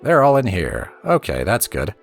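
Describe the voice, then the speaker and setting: Male game storyteller, bottom-register voice with a fry edge and animated intonation, reading lore books aloud. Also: lowish voice, moderate pace, over music.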